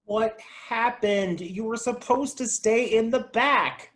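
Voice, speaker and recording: A man playing an excitable, kindly and easily exasperated guy in a comedy table read, expressive in his delivery. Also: very high-pitched, slow, heavily acted. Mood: flustered. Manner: expressive, animated.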